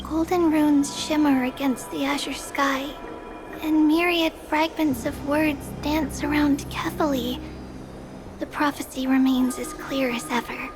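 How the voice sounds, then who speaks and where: An actress voicing a gentle, childlike female oracle in a video game, speaking in a soft, deliberate, earnest way. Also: high voice, fairly even intonation. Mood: serene. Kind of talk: scripted video game acting. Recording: music behind the voice.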